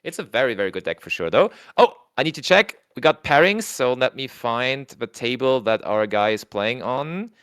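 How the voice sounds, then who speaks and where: A German-accented man co-commentating a tournament stream in a loose, chatty way. His voice swings widely in pitch as he thinks aloud and laughs often, and he sits at a middle pitch for a man. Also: expressive, normal speed, hardly any pauses, fairly bright tone, clean tone. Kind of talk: casual livestream commentary, reading chat aloud.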